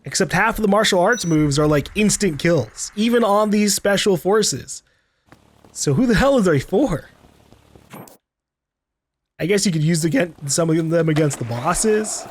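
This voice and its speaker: Higher-pitched man doing casual game commentary. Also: lively intonation, unhurried, slight background noise. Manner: rambling, thinking aloud, playful. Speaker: gaming video host. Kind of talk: casual let's play commentary. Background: faint game sounds.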